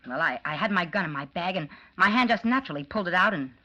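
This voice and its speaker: A muffled vintage radio recording of a young gangster's girl. She is brassy and none too bright, with a sharp, animated, whining delivery full of slang. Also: on the low side, quick pace. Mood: defensive, pleading. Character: brassy, dim young female gangster's moll. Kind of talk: 1940s radio acting.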